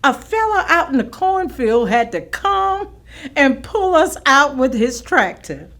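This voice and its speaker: An actress playing a proud, firm veteran schoolteacher looking back in a staged reading. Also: female voice, high-pitched, sing-song, measured pace, a bit bright, heavily acted. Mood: proud, reminiscing. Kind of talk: staged monologue of oral history recollections.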